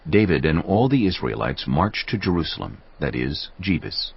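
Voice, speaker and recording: A man with a gravelly, husky voice giving solemn, measured scripted narration of a dramatized Bible. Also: very deep voice, sing-song, highs cut off.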